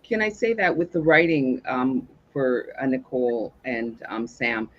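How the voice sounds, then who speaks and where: A man with a husky, mid-pitched voice talks as an interview guest. He is earnest and halting, feeling for his words. The sound is slightly muffled and lo-fi. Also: a bit bright. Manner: hesitant, earnest, searching for words. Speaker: cast member, interview guest. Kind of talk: conversational interview talk. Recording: dull lo-fi connection.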